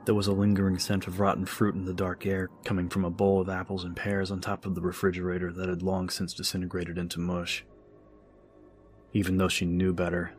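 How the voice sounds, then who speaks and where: A man narrating a scripted horror story as an audiobook narrator. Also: deep voice. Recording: music under the voice. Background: music.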